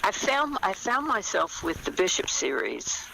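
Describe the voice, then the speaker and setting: An older woman talking conversationally as an interview guest, explaining her work as a writer in a thoughtful, halting manner. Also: mid-range voice, sing-song, vocal fry, bright, forceful tone, slight background noise, poor audio.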